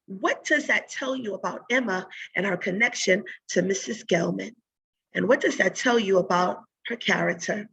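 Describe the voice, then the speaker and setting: A woman teacher giving a read-aloud lesson in an instructive way, pausing to think aloud and pose guiding questions. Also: medium pitch, fairly bright tone, slightly rough, rough recording.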